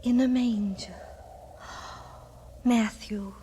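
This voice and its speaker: A woman acting a tender, reverent innkeeper's wife and worried aunt in an old-time radio drama, hushed and full of wonder. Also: on the high side, fairly even intonation, very slow, in a whisper. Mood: awed. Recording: vintage radio broadcast, music behind.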